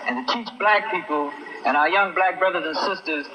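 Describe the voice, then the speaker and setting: A man's voice: a little high, moderate pace. A man in an archive clip giving an impassioned, declamatory account. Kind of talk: recalled storytelling speech. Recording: noisy distant archive playback.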